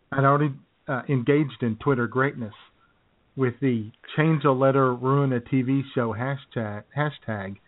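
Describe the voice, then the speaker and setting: A wry, dry-humored man riffing through a comedy podcast, clearing his throat now and then. His voice sits at an ordinary middle pitch. The audio is thin and tinny, like a phone line. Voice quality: wry, self-described cynical, frequent throat-clearing. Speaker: podcast co-host. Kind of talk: casual comedy chat.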